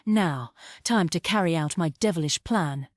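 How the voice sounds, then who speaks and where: A woman's voice: on the low side, normal speed, dry recording, clean studio sound, close to an everyday voice. A woman playing a scheming, sarcastic villainess in a stage table read, sly, mocking and melodramatic. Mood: gloating, scheming.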